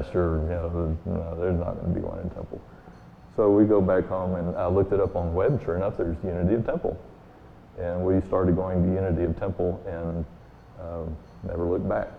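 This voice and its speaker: A man with a booming voice giving a guest sermon in a church, telling stories in a folksy, relaxed way with many fillers. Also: gravelly, slight background noise. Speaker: guest speaker. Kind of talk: sermon with personal story. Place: church sanctuary. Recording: echoey hall, tinny phone-like sound.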